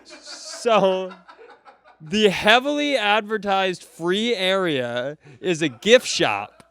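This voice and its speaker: A man's voice: lively intonation, drawn-out words, clear, resonant tone. Man ranting about a pumpkin patch. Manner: ranting, acts out people in high shouty voices. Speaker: podcast co-host. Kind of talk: comedic storytelling. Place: live comedy club stage.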